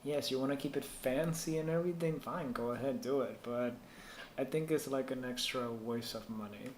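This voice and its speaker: A man's voice: mid-range voice, moderate pace. Echoey Puerto Rican streamer, native Spanish-speaking man. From Puerto Rico. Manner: rambling, thinking aloud while reading chat. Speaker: live stream host giving PC troubleshooting advice. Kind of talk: casual live stream chat. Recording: echoey room with faint noise.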